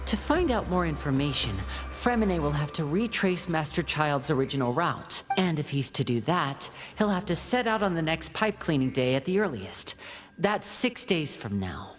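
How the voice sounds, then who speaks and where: A woman's voice: low-pitched, big pitch swings, normal speed. A woman playing a composed, polite and calculating young male magician in a video game. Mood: composed, thoughtful. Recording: game music behind the voice.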